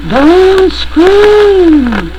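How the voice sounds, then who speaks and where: An eerie, mannered ghost host from a children's storybook record, acted out by a woman. The voice is high and very slow, stretching each courteous, ominous phrase. Vinyl crackle and pops run clearly through the recording. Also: big pitch swings, heavily acted. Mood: hushed warning. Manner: slow, drawn-out, courteous warnings.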